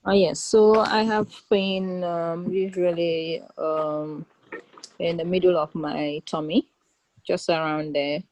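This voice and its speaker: A woman role-playing a patient in a mock exam, speaking haltingly over a video call with many ums. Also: fairly low pitch, fairly even intonation, darkish tone, rough recording.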